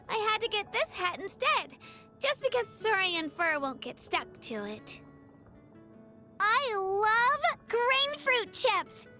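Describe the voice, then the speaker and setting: A woman's voice: extremely high pitch, exaggerated voice. A woman voicing a timid, earnest young girl in a video game, with a childlike, hesitant delivery. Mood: delighted, polite. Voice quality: childlike, soft-edged. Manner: hesitant, earnest, stammering on first words. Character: timid, earnest young girl, tearful yet determined gem collector. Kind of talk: scripted video game character lines.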